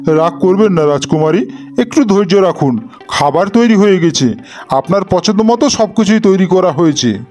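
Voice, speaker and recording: A man's voice: sits high, expressive, moderate pace, darkish tone, faint music behind. A man voicing a jealous, imperious demon king in a fairy-tale cartoon, with a grave, declamatory delivery. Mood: placating.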